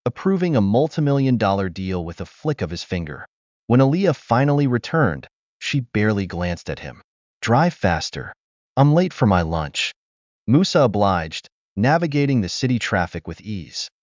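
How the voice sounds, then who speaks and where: A man narrating a scripted moral tale in a smooth, expressive storytelling manner. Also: lowish voice, normal speed, dry recording. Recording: dull dry recording.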